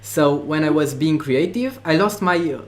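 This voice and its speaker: A man's voice: a little high, moderate pace. A man with a Romanian accent, a non-native English speaker, being interviewed about making online courses, talking with many fillers and restarts. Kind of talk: interview conversation.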